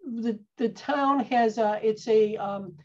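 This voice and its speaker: A woman's voice: fairly high pitch, takes it slowly. A woman who heads a town parks department, answering questions at a meeting with some hesitant restarts, speaking from a home office on a video call. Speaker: parks and recreation staff head. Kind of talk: casual meeting discussion. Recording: dull video call audio.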